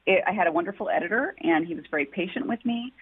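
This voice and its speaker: A woman's voice: on the low side, fast talker, breathy edge. A woman author answering interview questions, telling her story in an earnest, heartfelt way. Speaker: author guest. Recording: tinny phone-line audio.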